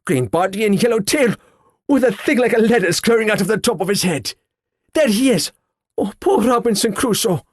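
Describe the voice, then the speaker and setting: A man voicing an old male miser in an audiobook, crying out in breathy bursts and then dropping to a hush. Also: lowish voice, moderate pace, no room sound, studio quality, exaggerated voice. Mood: ecstatic. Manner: excited exclamations swinging to hushed regret. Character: old male miser, swept up in childhood memories. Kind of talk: audiobook character voice.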